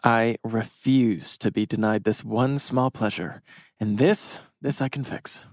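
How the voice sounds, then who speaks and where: A man's voice: lowish voice, steady tone, measured pace, no room sound, studio quality. An actor playing a wry, awkward, talkative teenage boy in a table read of a teen drama.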